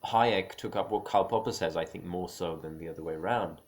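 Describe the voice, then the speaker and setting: A man with a very low voice that keeps sliding into a gravelly fry. He muses aloud without a script, stumbling and restarting often and dropping small laughs between his points. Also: fairly quick. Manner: musing, opinionated. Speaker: speaker on creative careers. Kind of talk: unscripted spoken monologue.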